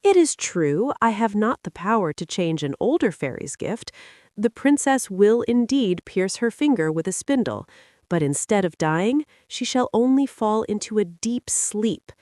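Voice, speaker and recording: A woman's voice: medium pitch, very animated, measured pace, darkish tone, no echo, clean studio sound. A woman voicing a kind, resolute young fairy who proclaims earnestly and emphatically in a storybook reading. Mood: urgent reassurance.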